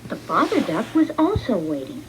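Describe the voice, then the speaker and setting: A woman reading a children's storybook in an expressive, deliberate read-along manner. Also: medium pitch, slight background noise, low-quality recording. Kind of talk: scripted children's storybook narration.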